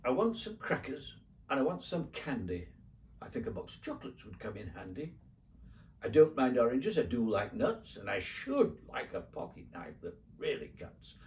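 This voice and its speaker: Lonely petulant king, acted by a male reader, storybook verse, pleading, sing-song, very animated pitch, faint noise. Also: moderate pace, distant mic. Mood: wishful, pleading. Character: lonely, childish, petulant old-time king, hopeful and self-pitying.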